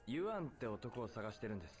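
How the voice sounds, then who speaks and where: Man acting a brisk, polite game investigator. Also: medium pitch, very animated, lightly acted. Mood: polite inquiry. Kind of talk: Japanese game dialogue. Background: clear game music.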